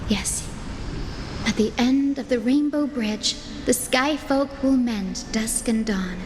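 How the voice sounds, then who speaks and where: A woman playing a gentle, earnest young heroine in a video game, speaking formally and reverently. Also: a little high, slow pace, noisy background. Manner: polite, solemn, formal. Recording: long hall reverb, background music.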